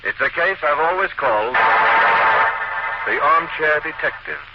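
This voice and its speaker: An actor playing a genial, composed gentleman detective who narrates cases in a 1940s radio drama. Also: a little high, slow pace, loud music behind the voice. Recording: dull, noisy old radio broadcast.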